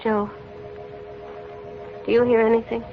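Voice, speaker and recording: A gentle, frightened young woman pleads slowly in a slightly high voice. It is earnest vintage radio melodrama with a dull, muffled sound. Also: flat delivery, soft background music. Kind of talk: 1940s radio acting. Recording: muffled 1940s radio recording.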